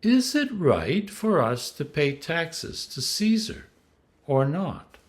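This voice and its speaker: A man's voice: a little high, very animated, takes it slowly, voice pushed up. A man voicing sly, falsely polite male questioners in a scripture reading, formal and pointed.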